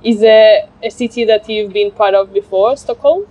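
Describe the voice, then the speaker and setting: A woman's voice: medium pitch, moderate pace, dark tone, low-quality recording. A woman interviewing strangers outdoors, encouraging them with short affirmations. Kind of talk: vox pop interviewing. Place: outdoor fair in a park.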